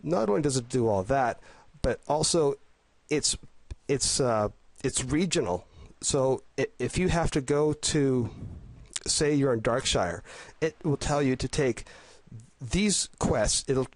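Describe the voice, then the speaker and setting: A man with a cold, sounding congested and a little nasal, giving casual voice-over commentary on a game guide, enthusiastic but halting with frequent restarts. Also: medium pitch, expressive, no room sound. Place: at home.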